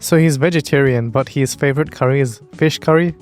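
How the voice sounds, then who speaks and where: A man's voice: mid-range voice, brisk, a little mellow, soft background music. A man hosting a reaction video, commenting with wry, blunt amusement. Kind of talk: casual commentary over a video.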